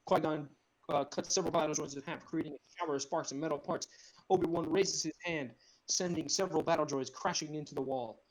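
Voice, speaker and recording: A man's voice: a little high, quick pace, a crowd in the background, rough recording. A man narrating screenplay directions in a casual group read, dropping in amused asides.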